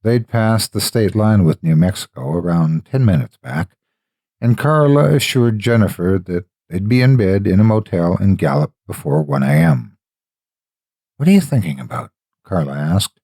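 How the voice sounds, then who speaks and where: A man narrating a scripted horror story in a measured, theatrical, emphatic delivery. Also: sits low, dark tone, no echo, clean studio sound.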